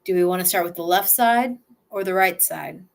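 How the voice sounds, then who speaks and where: A woman with a lowish voice leads a relaxation exercise. She talks slowly and softly, narrating her own breathing and movement as she goes, with sighs between phrases. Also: creaky. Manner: slow, soft, first-person self-guiding with sighs. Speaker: somatic exercise guide.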